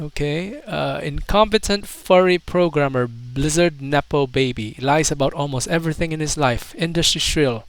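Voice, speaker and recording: A man with a fairly high, smooth and clear voice gives casual online commentary. He reads comments aloud and adds incredulous, mocking asides in a hesitant way. The sound is close and dry. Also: no echo. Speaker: YouTube commentator.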